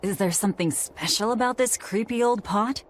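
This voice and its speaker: A woman's voice: medium pitch, moderate pace, natural voice. An actress voicing a curt, irritable, stern young woman warrior in a video game, with a blunt, impatient manner. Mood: irritated, suspicious. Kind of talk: English-dub video game acting. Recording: music behind.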